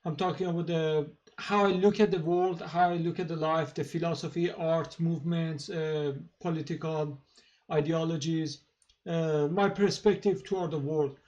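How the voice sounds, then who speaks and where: A man with a thick Persian accent talks on his own channel in a fairly high voice. He speaks casually and haltingly, full of fillers and restarts. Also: steady tone, a little mellow, highs cut off. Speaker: channel host. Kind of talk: casual monologue to camera.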